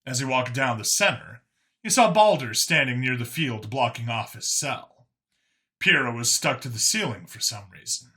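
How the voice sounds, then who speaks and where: A man's voice: medium pitch, animated, moderate pace, bright, forceful tone. A man reading a science fiction story as a scripted audiobook narrator.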